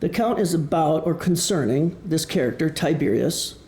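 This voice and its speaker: Mellow, creaky-voiced Midwestern American man. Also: a little high, lively intonation, normal speed. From Wisconsin, United States. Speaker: author presenting his novel. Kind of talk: public book talk. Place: indoor presentation room. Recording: echoey room.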